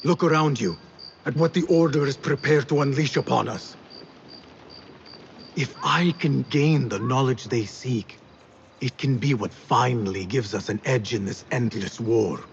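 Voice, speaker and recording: An actor playing a defiant, driven young assassin in a video game cutscene, with an intense, dramatic delivery. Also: male voice, medium pitch, a little slow, dull audio, slight background noise, lightly acted. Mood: determined.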